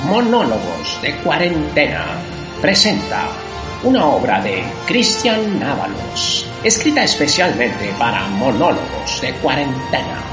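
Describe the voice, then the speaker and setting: A man's voice: medium pitch, wildly swooping pitch, unhurried. A man announcer giving a dramatic voiceover for a radio play. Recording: roomy voice over music bed.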